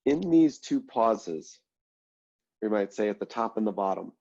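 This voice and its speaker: A man leading an online mindfulness class, congested and a little nasal, explaining breathing steps in a relaxed way with many ums and "right?" check-ins. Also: fairly low pitch, a little slow, gravelly, dull audio. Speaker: mindfulness instructor.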